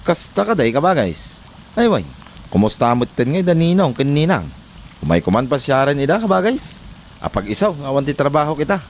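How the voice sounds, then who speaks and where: An animated male voice by an actor plays a defensive husband in a comedy drama. He grumbles and protests with lively swoops, over faint background noise. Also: on the high side, fairly quick. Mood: friendly. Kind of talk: Ilocano comedy drama acting.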